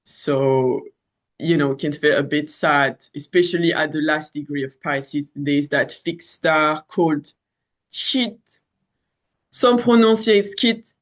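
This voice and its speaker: Man, French accent, fairly high voice, thirties, rambling casual astrology talk, lots of ums and restarts. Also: slow pace, a bit bright. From France. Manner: rambling, many fillers and restarts. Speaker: astrology channel host.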